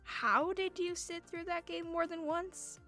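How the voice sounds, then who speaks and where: A woman's voice: very high voice, steady tone, measured pace, sharp tone, soft background music, full character voice. A woman playing a chatty, crafty gamer friend in an audio drama, explaining things casually and playfully.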